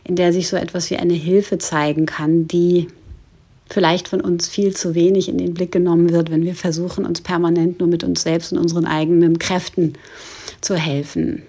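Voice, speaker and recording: A woman giving a reflective spoken message in a measured, formal way, in long winding sentences. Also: on the low side, level tone, mellow, husky. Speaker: guest philosopher sending an audio message.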